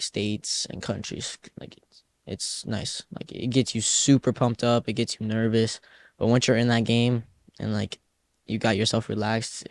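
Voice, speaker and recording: A teenage boy with a low voice and a gravelly rasp. He is a young soccer player answering questions in a relaxed, wandering way, dropping "like" into almost every phrase. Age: teens. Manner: loose, rambling, lots of "like" fillers. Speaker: youth soccer player, guest. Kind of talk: casual interview answers.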